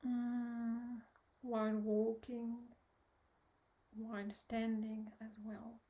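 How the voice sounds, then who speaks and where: A woman with a fairly high, mellow voice leads a guided meditation at a very slow pace. She is soft and gentle, often trailing into breathiness. She pauses long and hesitates as she gives instructions. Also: completely flat delivery. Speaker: meditation teacher.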